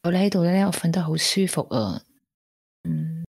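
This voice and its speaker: A woman's voice: fairly low pitch, normal speed, vocal fry, a little mellow, husky, dry recording, clean studio sound. An actress with a Hong Kong Cantonese accent playing a fragile, grieving young woman in a radio drama. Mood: softened, playful. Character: fragile grieving young woman, guilt-ridden, pushing suitor away.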